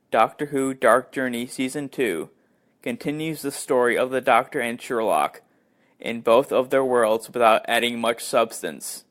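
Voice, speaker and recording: A man's voice: medium pitch, a bit bright. A man hosting a podcast and reading a scripted review in the manner of a narrator. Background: music.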